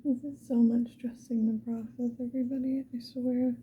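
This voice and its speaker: A woman's voice: on the high side, little pitch movement, normal speed, mellow, highs cut off, slight background noise. A woman whispering breathily in a casual, rambling ASMR style, unhurriedly thinking aloud. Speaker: ASMR video creator.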